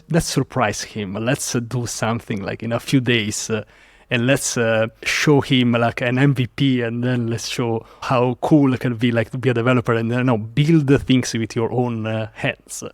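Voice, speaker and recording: A man from Italy with an Italian accent, telling a story as a podcast guest in an animated way with many fillers. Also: medium pitch, normal speed, dry recording, studio quality. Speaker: guest developer advocate. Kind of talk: casual podcast interview.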